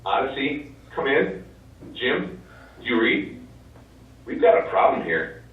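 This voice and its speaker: A man's voice: mid-range voice, big pitch swings, slow pace. A man playing a businesslike Mission Control officer speaking over the radio in a stage drama. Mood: alarmed, urgent. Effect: radio transmission. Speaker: stage actor in a one-act play. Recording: very echoey, distant, tinny phone-like radio sound.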